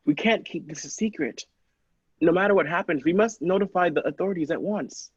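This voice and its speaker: A man's voice: sits high, brisk, breathy edge, no room sound, lo-fi sound. A man playing an anxious, cautious doctor in an old-style radio play, speaking with earnest worry. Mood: alarmed. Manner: worried, earnest.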